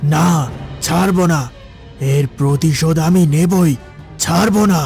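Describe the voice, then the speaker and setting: Man voicing possessing jinn, menacing, vengeful, dark timbre, horror cartoon, music bed. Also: fairly high pitch, fairly even intonation, slow, gravelly. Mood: defiant. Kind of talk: dramatic horror cartoon acting.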